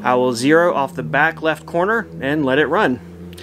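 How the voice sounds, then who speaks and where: Man, 30s, slightly high voice, easygoing hobbyist host; casual how-to narration in his home shop. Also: normal speed, noisy background. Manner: easygoing, plainspoken, thinks aloud. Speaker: woodworking channel host. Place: home woodshop.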